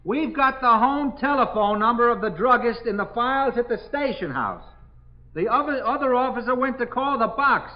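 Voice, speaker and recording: An actor playing a patient, reassuring policeman in a 1950s radio drama, plain-spoken and steady. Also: extremely high pitch, moderate pace. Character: patient, reassuring male policeman on night duty. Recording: dull 1950s radio broadcast recording.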